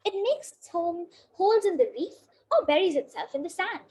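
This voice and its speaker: A female reader with a very high, small-sounding pitch reads a children's book aloud. Her melody is animated and varied. She goes carefully through the text, with an occasional slip. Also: moderate pace. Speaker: story reader.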